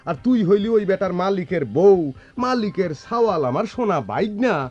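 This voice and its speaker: A man's voice: sits high, expressive, moderate pace, a little mellow. A man playing an eccentric, devoted older brother in a radio drama, emphatic and pleading, in a rural Bangladeshi Bengali dialect. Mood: wary, urging. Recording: dull lo-fi radio recording with music.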